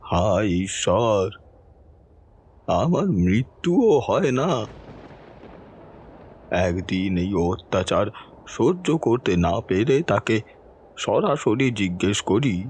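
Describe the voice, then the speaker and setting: A man's voice: on the low side, big pitch swings, a little mellow, husky, full character voice. A man playing a helpless, bedridden dying father, delivering a sorrowful, dramatic confession in an audio horror story. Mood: sorrowful, haunted. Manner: slow, anguished, dramatic pleading.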